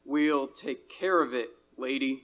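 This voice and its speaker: A man's voice: medium pitch, slow pace, fairly bright tone. A man playing a world-weary, patronizing city police sergeant in a 1930s-style live radio drama. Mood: brusque, dismissive. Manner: matter-of-fact, patronizing, brusque.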